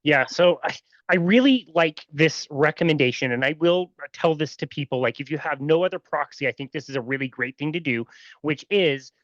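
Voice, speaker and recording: A man's voice: fairly high pitch, moderate pace, fairly bright tone, dry recording. A man hosting a podcast who thinks aloud and sums up what his guest said before asking a question. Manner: thinks aloud, repeats words, summarizes back to the guest. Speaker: podcast host. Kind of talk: casual interview chat. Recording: dry, slightly dull sound.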